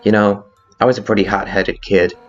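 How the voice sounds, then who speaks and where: Guy doing a hot-headed monster lady guard captain, blunt game dialogue, lowish voice, kinda muffled w music under it. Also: fairly even intonation, brisk, lightly acted. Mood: nostalgic, candid. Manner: informal, blunt, emphatic. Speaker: character voice actor in a fan dub. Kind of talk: dramatic video game dialogue. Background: background music.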